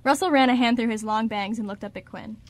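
Female narrator, fairly high voice, scripted storytelling, radio drama. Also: fast.

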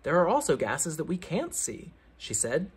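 A man reading a children's science book aloud like a teacher, with theatrical emphasis and clear explanatory phrasing. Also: fairly high pitch, wildly swooping pitch, moderate pace.

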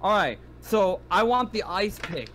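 Higher-pitched sweary man, faint noise. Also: a little slow. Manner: animated, sweary. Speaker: tabletop game player. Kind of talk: casual game chat over online session.

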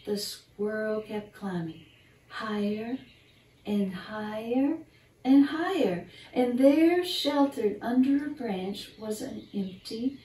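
An older woman reads a children's picture book in a gentle, unhurried, expressive bedtime style, talking to young listeners. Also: on the high side. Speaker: storyteller reading a picture book. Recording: roomy, distant mic, faint background noise.

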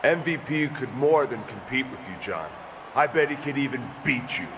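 An actor playing a smug, scheming wrestling villain in authority, taunting and deliberate in his delivery. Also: mid-range voice, expressive, background noise, close to an everyday voice. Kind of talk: wrestling promo acting.

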